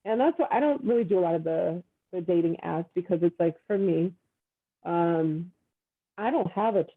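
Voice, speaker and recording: Woman on a video call, gravelly and earnest, thinking aloud with fillers, thin phone-line sound. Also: fairly low pitch, normal speed. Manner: earnest, reflective, many fillers. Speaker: dating guest. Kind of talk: casual video-call conversation.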